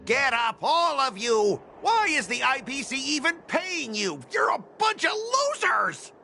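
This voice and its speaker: A man's voice: extremely high pitch, very animated, measured pace, gravelly, pushed and bright, faint music behind, full character voice. A man voicing an arrogant, hot-tempered company transport manager in a video game, pompous and condescending. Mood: furious, shouting. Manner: condescending, blustering.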